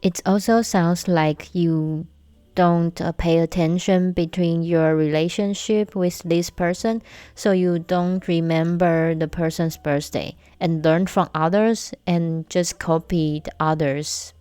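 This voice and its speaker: Slow, low-voiced woman host. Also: steady tone, dark tone, clear, resonant tone, no room sound. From Taiwan. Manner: slow, measured storytelling with light humor. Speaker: podcast host. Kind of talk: casual solo podcast chat.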